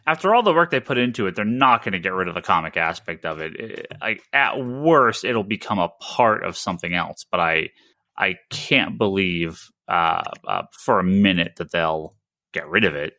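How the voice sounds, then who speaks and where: Man, low voice, bright and forceful, dry close sound, casual podcast host. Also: lively intonation, normal speed, no echo. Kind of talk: casual comics news discussion.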